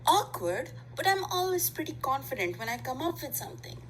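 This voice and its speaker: A woman's voice: high-pitched, very animated, brisk, slight background noise, rough recording. A woman voicing a mild, slightly awkward teenage boy idol in an amateur anime fandub, chatting in a casual, musing way. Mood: flustered.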